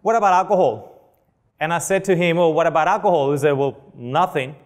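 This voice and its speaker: A man's voice: a little high, brisk. A man from Spain with a Spanish accent, a clinical nutritionist giving a chatty seminar talk to an audience, asking them questions. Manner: chatty, asks the audience questions, joking asides.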